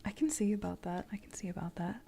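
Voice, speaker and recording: A woman streamer speaking softly in a playful ASMR livestream style, often dropping into a whisper. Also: mid-range voice, fast talker, vocal fry, slight background noise. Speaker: streamer reading tarot.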